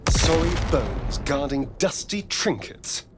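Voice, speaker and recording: A man's voice: fairly high pitch, very animated, slow, noisy background. A man playing a sardonic, vain elf rogue in a video game, with a wry, theatrical drawl. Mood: wry, mocking. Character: sardonic, vain male pale elf rogue with dry wit. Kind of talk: cinematic video game dialogue.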